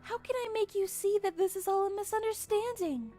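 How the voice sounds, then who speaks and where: Very high, pleading pony princess. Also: female voice, fairly quick, faint music behind. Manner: soft-hearted, earnest. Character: gentle, pleading young female cartoon pony princess.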